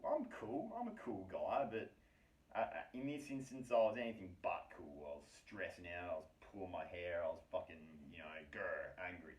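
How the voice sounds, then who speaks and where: A man's voice: sits low, a little mellow, highs cut off. A man casually telling a story about himself in a slangy, sweary, wry and self-mocking way. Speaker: storyteller, host of his own story series. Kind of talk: casual first-person storytelling. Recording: echoey room, distant from mic.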